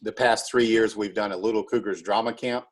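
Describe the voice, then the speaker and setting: A man being interviewed as a school drama club director, explaining in a casual, halting way. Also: fairly low pitch, fairly even intonation.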